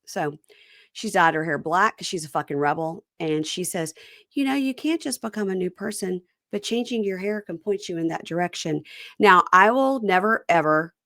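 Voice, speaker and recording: A woman's voice: on the low side, moderate pace, dry recording, clean studio sound. A woman from Mississippi with a Southern accent, hosting a casual reality TV recap podcast and wandering off on chatty tangents. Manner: chatty and animated, jumping between tangents. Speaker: podcast host.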